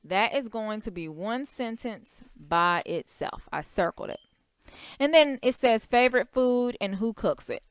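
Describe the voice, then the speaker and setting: A woman's voice: mid-range voice, clear, resonant tone, no echo, studio quality. A young woman teacher explaining a writing worksheet to young children step by step, in a patient, careful way. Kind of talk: recorded writing lesson over a worksheet.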